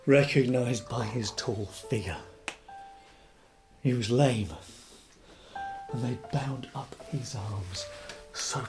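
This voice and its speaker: An actor playing a weary, embittered but loyal revolutionary husband in a theatrical period drama, speaking gravely with halting emphasis. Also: male voice, mid-range voice, close to an everyday voice. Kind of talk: dramatised classic novel, theatrical acting. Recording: guitar music behind the voice.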